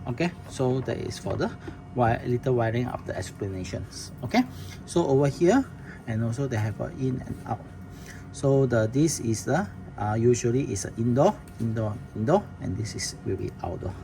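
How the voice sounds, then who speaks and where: Male demonstrator, mid pitch, casual and halting how-to delivery, noisy room recording. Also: animated, vocal fry, mellow. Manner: halting, plain wording with many restarts and fillers. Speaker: product demonstrator. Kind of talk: casual product demo walkthrough.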